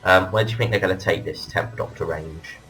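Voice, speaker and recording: A man's voice: low-pitched, fairly quick, a bit bright, soft background music, rough recording. A man hosting a casual fan discussion, with hesitant, hedging phrasing. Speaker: podcast host. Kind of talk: casual fan discussion of audio drama releases.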